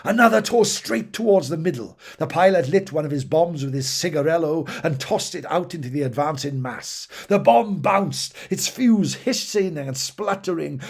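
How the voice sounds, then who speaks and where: A man's voice: fairly high pitch, creaky, darkish tone, husky. A man reading a fantasy battle story aloud with theatrical, emphatic delivery. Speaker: audiobook reader. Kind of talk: dramatic fantasy story narration.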